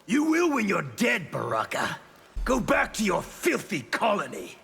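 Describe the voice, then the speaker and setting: An actor playing a scornful, hostile fantasy fighter in a fighting game, with a sneering, taunting manner. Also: male voice, high voice, wildly swooping pitch, unhurried, creaky, husky, heavily acted. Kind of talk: fighting game character dialogue. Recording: loud music, long reverb.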